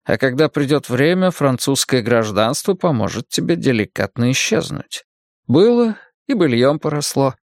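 A man voicing a languid, sardonic middle-aged male spymaster who drawls with slow irony. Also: mid-range voice, very animated, gravelly, no room sound, studio quality. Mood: lofty. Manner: drawling and ironic, slow and lofty.

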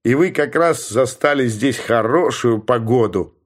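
A man's voice: medium pitch, measured pace, close to an everyday voice. A man reading aloud voices a friendly, curious middle-aged male hunter who questions a fellow passenger on a train. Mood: chatty.

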